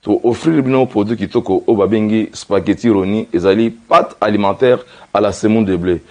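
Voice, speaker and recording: A man pitches a product at speed, his somewhat low voice pressing the same praise again and again. The delivery is insistent and salesman-like. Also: fairly quick, mellow. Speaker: advertising pitchman. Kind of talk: product promotion.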